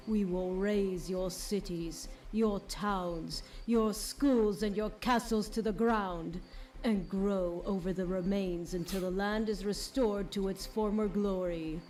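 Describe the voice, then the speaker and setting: A woman's voice: medium pitch, mellow, lightly acted. A woman playing an imperious, vengeful tree spirit in a possessed pony's body, grandiose and accusing, in an audio drama. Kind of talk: audio drama villain monologue.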